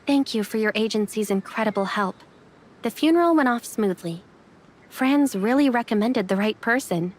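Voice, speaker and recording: A woman playing a caring but reserved young woman in an anime game, speaking gently and reassuringly. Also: on the high side, lively intonation, normal speed.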